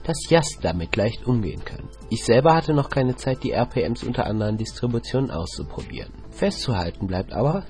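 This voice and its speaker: Lively man reading a software review. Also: lowish voice. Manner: reads script, addresses listeners informally. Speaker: podcast contributor. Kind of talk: scripted product review.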